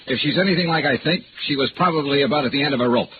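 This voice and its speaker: Hard-boiled radio detective played by a man: rapid, dry, pressing, medium pitch, thin vintage sound. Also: noisy background, natural voice. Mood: urgent, commanding. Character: brisk, hard-boiled male insurance investigator narrating his case. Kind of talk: 1950s radio detective narration and acting. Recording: tinny old radio recording, music behind.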